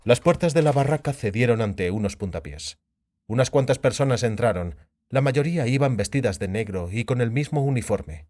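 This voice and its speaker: A man's voice: mid-range voice, animated, moderate pace, darkish tone. A man narrating a fantasy audiobook in a scripted, dramatic storytelling style.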